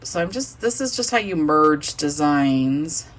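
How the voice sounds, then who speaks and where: A woman casually demonstrating embroidery design software step by step, thinking aloud as she clicks. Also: sits low, lively intonation, measured pace. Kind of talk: casual screen-recorded software tutorial.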